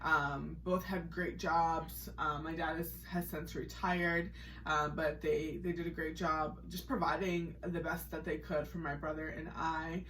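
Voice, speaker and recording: Low-voiced American woman, slightly distant mic. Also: level tone, measured pace, fairly bright tone, slight background noise. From Massachusetts, United States. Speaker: podcast co-host sharing personal story. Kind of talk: casual conversational testimony.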